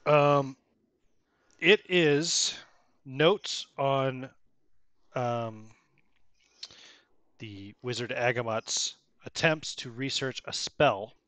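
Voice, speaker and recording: A bearded middle-aged man in a small home room, narrating a tabletop game as game master on a headset mic with a chatty, relaxed manner. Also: medium pitch, very slow, long pauses, no room sound. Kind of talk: narrating a tabletop game over a video call.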